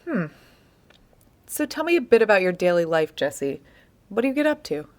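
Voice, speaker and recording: A woman with an American accent playing a patient, measured interviewer who gently probes, in a naturalistic audio drama. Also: mid-range voice, close to an everyday voice. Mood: probing. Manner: measured, calm, probing. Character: patient, probing woman interviewer recording an oral history.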